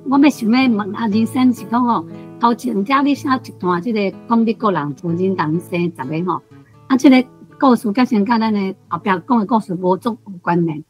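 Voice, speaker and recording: A woman's voice: mid-range voice, fairly quick, faint music behind, poor audio. A woman with a Taiwanese accent putting a conversational question to an author as a guest.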